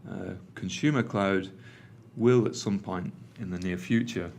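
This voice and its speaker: A man's voice: deep voice, measured pace, creaky, a little mellow, slight background noise. A middle-aged man with a Manchester accent, giving a conversational technical presentation to a room, with frequent ums and uhs. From England. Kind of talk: conference presentation. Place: meeting room with an audience.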